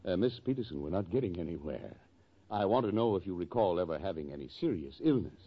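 An actor playing a polite but exasperated doctor, formal and a bit flustered, in a 1940s radio comedy. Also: male voice, low-pitched, sing-song, fast, slightly rough, heavily acted. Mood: strained patience. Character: exasperated, polite male doctor with dry patience. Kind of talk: 1940s radio sitcom acting. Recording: dull vintage 1940s radio.

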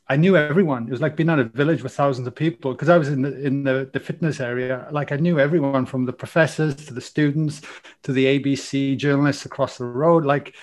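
A man in his forties with a Welsh accent, a podcast guest telling his life story in a lively, rambling way with many restarts. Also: medium pitch. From Wales. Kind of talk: casual storytelling interview.

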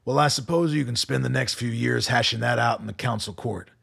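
An actor playing a hardened, weary army colonel in a radio play, gravelly and husky, with a dry, deliberate manner. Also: male voice, mid-range voice, a bit bright, heavily acted. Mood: sardonic.

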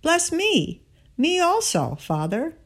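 A woman's voice: very high voice, huge pitch swings, takes it slowly, voice pushed up, heavily acted. A woman reading the words of a wronged, bitter elder son in a scripture story, earnestly. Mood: pleading.

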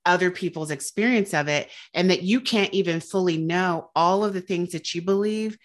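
A woman's voice: fairly low pitch, normal speed. A woman in her thirties from the Southern United States, a guest author speaking earnestly and reflectively in a casual interview. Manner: reflective, earnest, laughs lightly.

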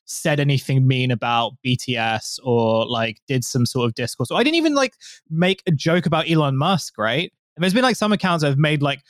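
A man's voice: mid-range voice, lively intonation, a little fast, no echo. A man hosting a podcast in a casual, rambling chat, full of fillers and restarts. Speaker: podcast host.

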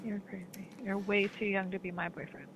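A woman's voice: mid-range voice, little pitch movement, fairly quick, a little hiss or hum. A woman on a lo-fi call, trading casual banter with blunt, dismissive comebacks. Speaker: phone chat line caller. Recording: dull lo-fi line, dry.

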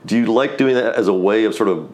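A man interviewing an author, asking long, measured questions and reading quotations from the book aloud. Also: on the low side, a little mellow. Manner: reads quotes aloud. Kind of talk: interview conversation.

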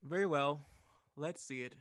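Man playing a fussy, high-voiced office clerk. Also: unhurried, a bit bright. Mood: officious. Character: fussy, exasperated, skeptical telegraph office clerk. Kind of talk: old-time radio play acting.

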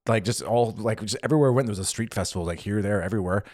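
A young man with a slightly low voice co-hosts a relaxed chat podcast. He tells stories with energy and slips into quick impressions of what other people said. The sound is dry and close to the mic. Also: no echo. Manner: animated storyteller, acts out quotes. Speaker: podcast co-host. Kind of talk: casual chat. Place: living in Germany.

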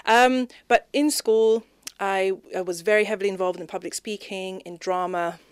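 A woman who grew up in Zimbabwe, speaking with a British English accent as a guest in a casual, reflective interview, animated and quick to laugh. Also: medium pitch, clear, resonant tone, no room sound. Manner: animated, lively, with frequent restarts and warm laughs.

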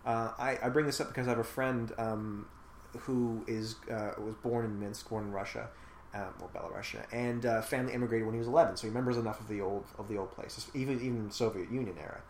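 A man's voice: on the low side, fairly even intonation, fast. A man in a casual film discussion, talking thoughtfully at length with many fillers. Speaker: podcast host discussing a film. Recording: echoey room with faint noise.

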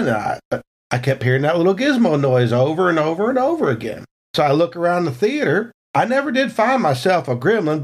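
Man playing a lively Southern good old boy. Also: a little high. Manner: folksy, rambling, drawling colloquial speech. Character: folksy Texan movie theater owner, monster hunter, rambling and good-natured. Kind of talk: improvised in-character storytelling in a tabletop game.

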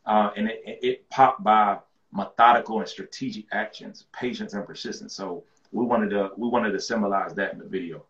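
Deep-voiced man, laid-back. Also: fairly even intonation, moderate pace, fairly bright tone. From rural North Carolina. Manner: laid-back, slangy, repeats words as he restarts. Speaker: guest rapper. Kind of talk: casual interview chat. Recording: echoey room, dull lo-fi sound.

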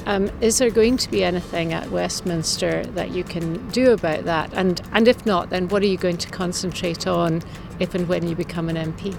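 A woman's voice: on the low side, normal speed, vocal fry, a little mellow, soft background music. A woman hosting a podcast, introducing it and putting questions to a guest as interviewer.

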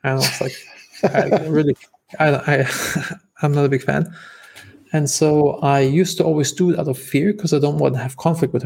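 Man, German accent, raspy, gravelly, casual storytelling guest. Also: on the high side, a little mellow. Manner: talkative, many fillers and restarts. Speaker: podcast guest, entrepreneur.

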